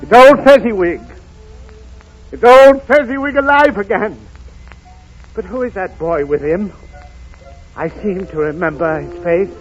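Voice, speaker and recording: A fretful old miser in a vintage radio drama. His voice is high for a man and unhurried, with theatrical, pleading delivery. Music plays clearly behind him. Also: poor audio. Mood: wistful. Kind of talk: 1930s radio acting.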